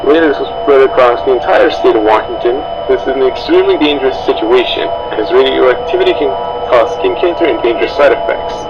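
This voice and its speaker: A man's voice: mid-range voice, lively intonation, fast, hardly any pauses, over loud music, close to an everyday voice. A man playing a grave government representative giving a solemn, formal emergency address over a muffled, humming broadcast. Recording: muffled, noisy radio-style broadcast with hum.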